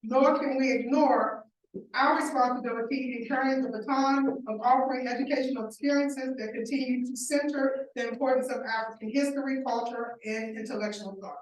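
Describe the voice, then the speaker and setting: A woman moderates a faculty roundtable, delivering a measured, formal opening statement. Her voice is high. She is picked up from a distance in a strongly echoing hall with faint background noise. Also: steady tone. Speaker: panel moderator. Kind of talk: reading a prepared opening address. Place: large hall.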